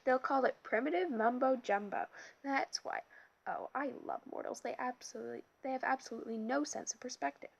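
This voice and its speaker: A woman's voice: high voice, brisk, vocal fry, dull audio, voice pushed up. A woman reading an audiobook, voicing a pudgy, impatient and scornful male camp director who drawls his contempt.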